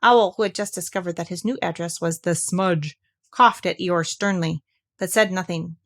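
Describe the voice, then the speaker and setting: A woman's voice: fairly low pitch, normal speed. A woman reading a children's story aloud as scripted narration. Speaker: storybook reader.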